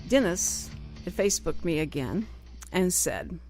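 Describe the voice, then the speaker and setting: Fields: Sex: woman. Role: radio talk show host. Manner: chatty, animated, quick to jump in. Sound: dry studio mic. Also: lowish voice, no room sound, soft background music, low-quality recording. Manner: chatty, animated, jumps in often. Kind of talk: casual live radio chat.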